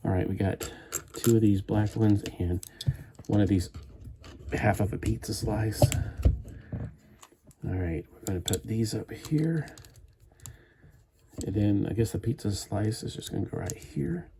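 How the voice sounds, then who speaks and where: A man's voice: on the low side, unhurried, pauses often, noisy background. A man chatting at home as he builds a toy kit live, meandering and thinking aloud with frequent restarts. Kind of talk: rambling live-stream chat while building.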